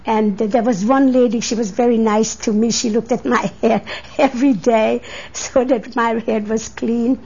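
An eighty-year-old woman from Hungary with a Hungarian accent, recalling her life in a deliberate way for an oral history interview on tape. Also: on the high side, steady tone, a little mellow, husky. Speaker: interviewee, immigrant. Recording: dull 1980s tape interview recording.

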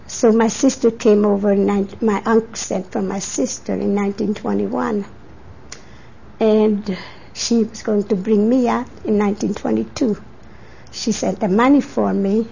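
An eighty-year-old woman from Hungary with a Hungarian accent, recalling her life in a deliberate way for an oral history interview on tape. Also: medium pitch, creaky, mellow. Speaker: interviewee, immigrant. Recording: dull 1980s tape interview recording.

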